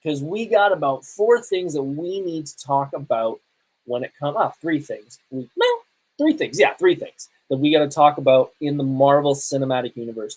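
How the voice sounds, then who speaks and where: A man's voice: a little high, rough recording. A man hosting a podcast, talking casually in a rambling, conversational way and often correcting himself. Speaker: podcast host. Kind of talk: casual live podcast talk and reviews.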